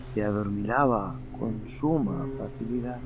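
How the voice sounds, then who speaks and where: A man reading a horror story aloud in a slow, theatrical way, then presenting notes on film music. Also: fairly low pitch, noisy background. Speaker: narrator and podcast host. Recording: narration over loud music.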